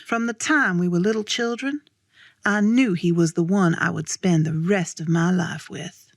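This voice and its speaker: A woman's voice: fairly low pitch, moderate pace, dry recording, clean studio sound. A woman playing a devoted 1950s Southern wife, narrating reflectively. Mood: wistful, confiding. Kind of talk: theatrical monologue to an audience.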